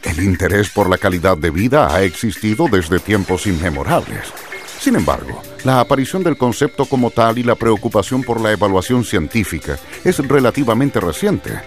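A man narrates in a formal, didactic style. His voice is fairly low with lively intonation, over music. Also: moderate pace. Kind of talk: scripted educational narration.

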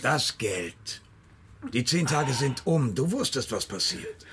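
An actor playing a menacing, pedantic and self-pitying debt collector in a radio crime drama, lecturing in a deliberate, pompous way. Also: male voice, medium pitch, big pitch swings, background noise. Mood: coldly menacing. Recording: music behind the voice.

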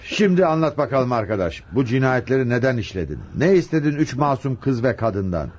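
An actor playing a measured, authoritative and resigned chief police inspector in a radio drama. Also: male voice, mid-range voice, sing-song, breathy edge. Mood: grave, resigned. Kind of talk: Turkish radio drama acting. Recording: muffled, slightly noisy radio drama recording.

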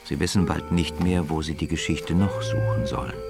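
A man narrating a children's radio story in an expressive, measured storytelling manner. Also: rumbling low, vocal fry. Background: loud music.

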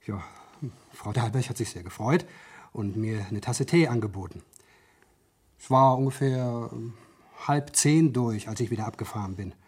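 An actor playing a polite, nervous office manager in a 1970s German radio crime play, hesitant and eager to explain. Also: male voice, mid-range voice, normal speed, a little mellow, slightly rough, close to an everyday voice.

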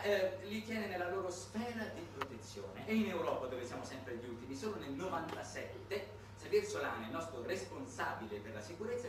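Male stage monologist, satirical, lively pitch swings, explanatory asides; distant mic, clear background noise. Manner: swings between aside and declamation, rhetorical questions. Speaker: solo theatre monologist. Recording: distant, very echoey, noisy live stage recording.

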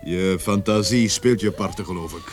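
An actor playing an experienced, gruffly compassionate police sergeant in a Dutch radio play, weary and emphatic. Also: male voice, deep voice, moderate pace, close to an everyday voice. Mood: dismissive.

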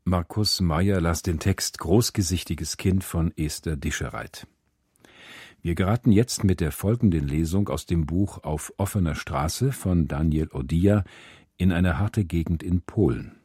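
Very deep, dark-voiced male radio presenter. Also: unhurried, slightly rough, no echo, studio quality. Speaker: radio presenter announcing readings.

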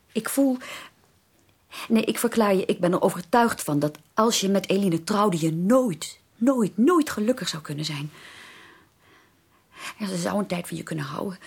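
An actress in a Dutch radio drama playing an earnest, anxious young sister who pleads haltingly and emphatically. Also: female voice, on the low side, creaky, darkish tone, husky, heavily acted. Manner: halting, emphatic, repeats words for stress.

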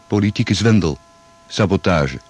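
An actor playing a matter-of-fact secret agent in a Dutch radio play, terse and businesslike. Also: male voice, deep voice, animated, unhurried, a little mellow, slightly rough, full character voice. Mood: serious, factual.

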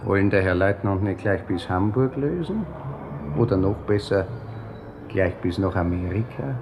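An actor playing a sardonic, needling baker in railway uniform with an Austrian accent, in a radio drama. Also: male voice, low-pitched, expressive, normal speed. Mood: mocking. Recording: loud background noise.

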